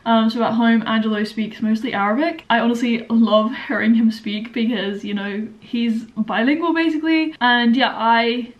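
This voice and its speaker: A woman's voice: a little high, fairly even intonation, normal speed, hardly any pauses, highs cut off. Chatty English woman vlogging. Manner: chatty, rambling, many fillers. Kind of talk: casual vlog chat.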